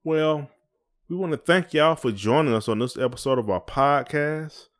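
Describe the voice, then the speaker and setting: A man's voice: fairly high pitch, normal speed. A man co-hosting a podcast in casual conversation, with a folksy, colloquial way of talking. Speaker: podcast co-host.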